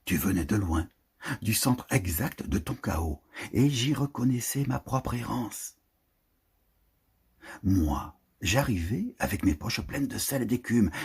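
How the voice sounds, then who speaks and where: A very husky, breathy man reads literary prose poetry. His delivery is slow and solemn, with deliberate phrasing and a pitch that swings widely as he declaims. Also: darkish tone. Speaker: reader of a prose poem.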